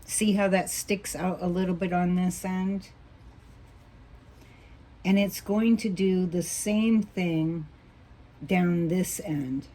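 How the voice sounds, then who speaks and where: A woman teaching a quilting block as she sews, patient and thinking aloud, a little hoarse as she loses her voice. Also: fairly low pitch, steady tone, slow pace, pauses often, mellow. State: losing her voice, coughing. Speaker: quilting instructor. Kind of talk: relaxed hands-on sewing tutorial.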